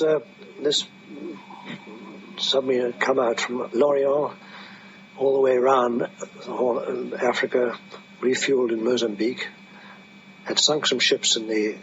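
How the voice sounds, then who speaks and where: An older man, a veteran being interviewed, recalls his service in a halting, hesitant manner. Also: mid-range voice, steady tone. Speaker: air force veteran interviewee. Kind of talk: reminiscing in an interview. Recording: noisy, muffled archive interview tape.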